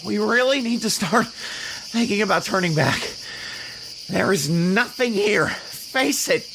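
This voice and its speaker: A woman's voice: medium pitch, animated, takes it slowly, background noise. Woman as an exasperated, swooping-voiced space expedition skeptic. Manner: exasperated, emphatic. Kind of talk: audio drama dialogue. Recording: clear background ambience of jungle insects, clicks.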